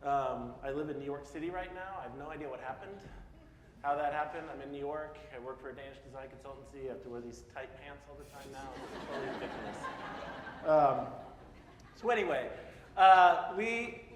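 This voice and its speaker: A man's voice: mid-range voice, a little fast. Chatty Midwestern man in an echoey hall. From Peoria, Illinois (US Midwest). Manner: casual, self-deprecating, asks the audience questions. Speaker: speaker at a public talk. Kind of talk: conference talk. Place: large hall in front of an audience. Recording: echoey hall with faint noise.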